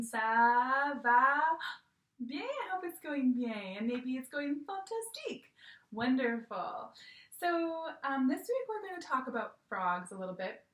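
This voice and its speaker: A woman with a fairly high voice teaches small children over video from home, speaking gently and patiently and checking in often. She sits back from the mic, so her voice carries a roomy echo. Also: far from the mic. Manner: gentle, patient, demonstrative, frequent "okay?" checks. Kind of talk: virtual lesson for young children. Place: room at home. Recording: echoey room, voice a bit off-mic.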